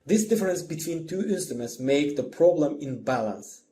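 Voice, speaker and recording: A man's voice: a little high, lively intonation, normal speed. A man narrating a scripted lesson as a music instructor, explaining step by step in simple phrases.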